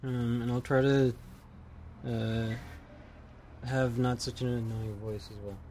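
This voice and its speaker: Wry man co-hosting with deadpan quips. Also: mid-range voice, takes it slowly, slight background noise. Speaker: co-host. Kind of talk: casual chat.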